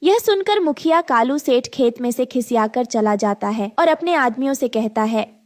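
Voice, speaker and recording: A woman narrates a cartoon storybook tale from a script. Her voice is fairly high, and the sound is dry and close. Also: lively intonation, normal speed, no room sound.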